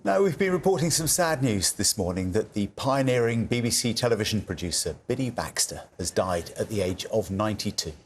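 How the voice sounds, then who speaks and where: Fairly deep British male news presenter. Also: expressive, a little fast, hardly any pauses, a little hiss or hum. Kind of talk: broadcast news chat.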